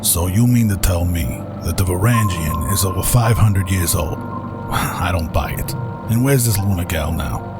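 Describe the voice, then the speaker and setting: A man's voice: deep voice, measured pace, loud music behind the voice. A man playing a blunt, incredulous spacer in an audio drama, challenging what he is told.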